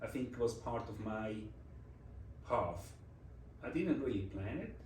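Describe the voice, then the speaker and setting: A man's voice: lowish voice, animated, unhurried, a little mellow, recorded from a distance, a little hiss or hum. Swiss man, roomy off-mic interview. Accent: Swiss German. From Switzerland. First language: Swiss German. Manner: reflective, non-native phrasing with restarts. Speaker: interview guest, design executive. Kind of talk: casual interview conversation.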